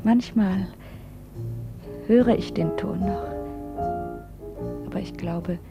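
A woman playing a frail, loving wife in a 1950s radio play, hesitant, hushed and wistful. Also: medium pitch, slow, dull audio. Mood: tender, wistful. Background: piano music.

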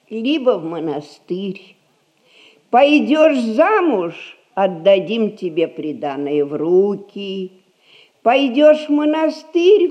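An actress playing a stern, rich old grandmother who rules a merchant household, speaking in measured, folksy old-fashioned phrases in a stage comedy. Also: on the high side, a little mellow. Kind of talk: Russian stage comedy recorded as radio play.

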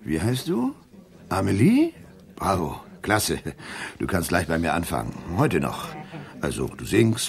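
Sleazy nightclub boss, extremely low gravelly voice, curt, persuasive, radio drama. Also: male voice, exaggerated voice. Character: slick, sleazy nightclub boss recruiting girls.

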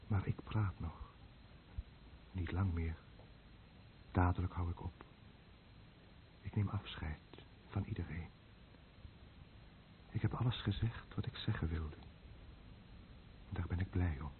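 Low, resigned doomed man. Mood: resigned, warning. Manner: somber, measured. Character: doomed, resigned man bidding everyone farewell. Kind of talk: solemn radio play acting. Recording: tinny old radio recording, faint hiss.

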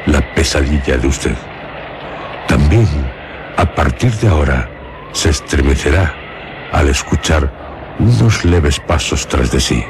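A man's voice: very deep voice, background noise. A man narrates horror for radio in an ominous, solemn, theatrical manner, lingering on short phrases. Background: music.

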